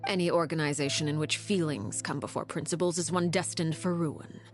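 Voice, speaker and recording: A woman performs a composed, menacing matriarch from a video game. Her voice is fairly low and smooth, with a formal, measured delivery that carries quiet threat. Music plays softly behind her. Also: natural voice. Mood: cold, composed. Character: cold, menacing female leader of a secretive orphan house.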